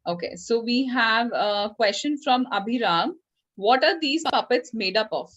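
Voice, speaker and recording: Fairly high Indian woman moderator, muffled call. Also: animated, unhurried, fairly bright tone. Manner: polite, instructive. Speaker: moderator of online children's class. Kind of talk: formal instructions and question reading. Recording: dull, lo-fi online call.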